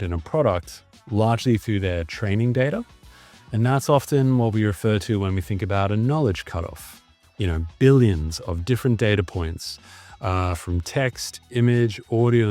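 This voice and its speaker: A man's voice: lowish voice, a little mellow. A man with an Australian accent, a guest on a podcast, explaining fluently in a casual conversation over a video call.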